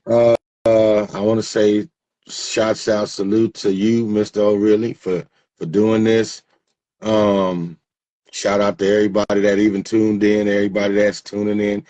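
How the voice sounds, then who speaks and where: A man's voice: on the low side, flat delivery, measured pace, dark tone, dry recording. A man in his fifties chatting casually as an interview guest, plain-spoken and slangy. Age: 50s. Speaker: music producer, interview guest. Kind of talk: casual chat.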